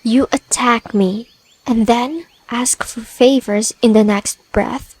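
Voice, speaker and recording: Prickly spider-woman played by a woman: slow, scornful, haughty audio-drama delivery. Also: on the high side, fairly even intonation, husky, dry recording. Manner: dry, scornful, bargaining. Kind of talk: ASMR audio roleplay.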